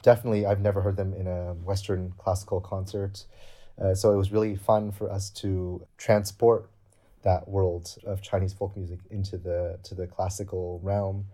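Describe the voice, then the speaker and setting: A deep-voiced young man talks through his project as an interview guest. His delivery is earnest and explanatory, worked out aloud. The tone stays mellow and dark, with little rise and fall. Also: level tone, moderate pace. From Canada. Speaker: guest cellist. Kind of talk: interview answers.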